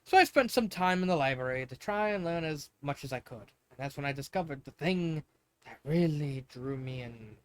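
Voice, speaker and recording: A man's voice: a little high, animated, moderate pace, close to an everyday voice. A man reads as a young crystal prince from an underworld kingdom in a fanfiction story. The boy is polite and curious, speaking in a friendly, tentative, earnest way.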